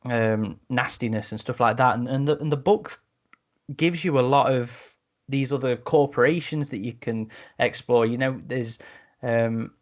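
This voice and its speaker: A man's voice: mid-range voice, unhurried. A man talking casually as a podcast panelist, piecing his thoughts together with hesitant repetitions.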